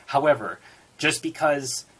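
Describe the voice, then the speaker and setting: A man giving a book review in a measured, reflective way, pausing and stressing key words. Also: fairly high pitch, a bit bright, breathy edge. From born in Singapore, United States citizen. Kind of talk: book review talk with read-out quotations.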